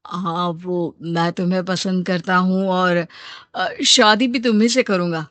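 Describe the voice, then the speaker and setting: A woman voicing a gentle, earnest, devoted young man in a storybook tale. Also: fairly low pitch, steady tone, measured pace, dry recording. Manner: soft-hearted, earnest.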